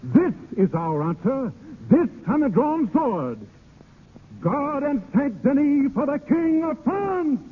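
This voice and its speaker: Swaggering rogue, hugely animated voice. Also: male voice, extremely high pitch, slow, full character voice. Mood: defiant. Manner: declamatory, theatrical. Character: bold, swaggering poet-rogue made marshal for a day. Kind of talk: 1930s radio acting. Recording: noisy, narrow-band 1930s radio broadcast.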